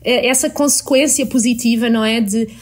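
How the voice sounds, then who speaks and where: A woman with a European Portuguese accent talks things through in a relaxed panel chat. Her voice sits a little high, and she keeps tagging her points with little checks. The delivery is reflective and conversational. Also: a little fast, husky. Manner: reflective, adds frequent tag questions. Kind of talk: casual panel discussion.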